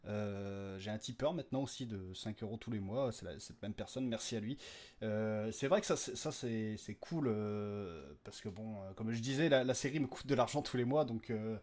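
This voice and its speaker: A man with a fairly low voice chats as a podcast creator. His talk is informal and rambling, with a joking, slangy manner, as if speaking straight to listeners. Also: normal speed, a little mellow. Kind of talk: casual, off-the-cuff update to listeners.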